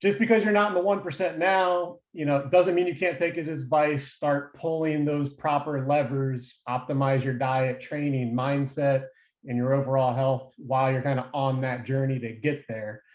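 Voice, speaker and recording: A man's voice: mid-range voice, fairly even intonation, moderate pace, roomy sound. A male podcast host introducing his guest in a casual, hype-building way with frequent fillers. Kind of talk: interview introduction.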